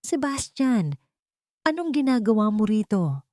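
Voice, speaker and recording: A woman's voice: a little high, sing-song, a little slow, no room sound, clean studio sound, voice pushed up. A woman voicing a domineering, angry rich matriarch in an audio drama, with a commanding manner. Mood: angry, alarmed. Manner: shouting, commanding.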